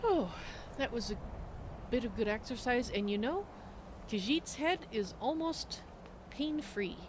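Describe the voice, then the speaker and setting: A woman's voice: a little high, expressive, unhurried, noisy background, voice pushed up. A woman roleplaying a kindly, motherly cat-folk adventurer who speaks of herself in the third person in a fantasy game. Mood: thoughtful, earnest.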